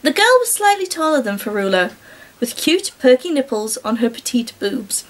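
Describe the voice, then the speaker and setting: A woman's voice: high voice, sing-song, moderate pace. A woman reading a story aloud and breaking off for casual, sarcastic commentary. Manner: wry, sarcastic asides.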